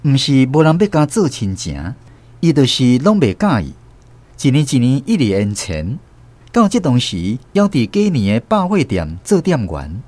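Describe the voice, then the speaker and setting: An actor with a Taiwanese accent narrating a family tale as the storyteller in a radio drama. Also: male voice, medium pitch, huge pitch swings, normal speed, a little mellow.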